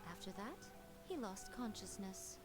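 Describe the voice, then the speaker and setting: A woman's voice: medium pitch, expressive, unhurried, over music, close to an everyday voice. A woman voicing a sly, elegant fox-spirit shrine maiden in an anime video game, poised, coy and teasing. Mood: smug explaining.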